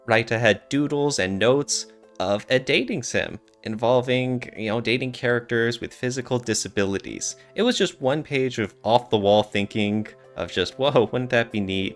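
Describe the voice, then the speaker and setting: Man, lower voice, conversational podcast talk, explanatory manner, faint music underneath. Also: a bit bright. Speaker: podcast co-host. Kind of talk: casual podcast discussion.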